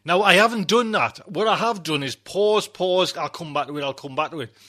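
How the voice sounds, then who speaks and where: A man's voice: fairly high pitch, brisk, pushed and bright. A man hosting a podcast casually, chatty and rambling, often repeating his phrases. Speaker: podcast host.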